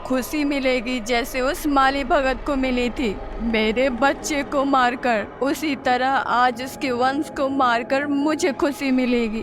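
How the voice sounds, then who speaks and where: Vengeful, cackling high-pitched ghost. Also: female voice, steady tone, noisy background. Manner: menacing, drawn-out taunts with evil laughter. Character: vengeful cackling female ghost, cruel and gloating. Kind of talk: Hindi horror storybook voice acting.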